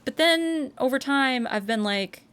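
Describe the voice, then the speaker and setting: A woman's voice: sits high, lively intonation, unhurried, fairly bright tone, smooth. An American woman chatting on her live stream in a loose, rambling way. She trips over her own starts, swears freely and breaks into laughter as she goes. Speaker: streamer and voice actor. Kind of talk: casual live-stream chat.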